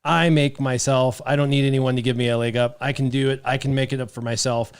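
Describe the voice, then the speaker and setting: American man with a mid-pitched voice. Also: level tone, fast talker, clean tone. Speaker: podcast panelist. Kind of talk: casual joking chat.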